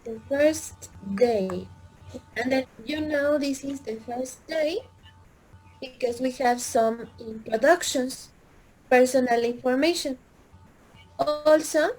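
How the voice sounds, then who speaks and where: Slow, high-voiced woman teacher. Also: darkish tone, rough recording. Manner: slow, emphatic, repeating answers. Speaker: English teacher. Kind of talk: classroom lesson.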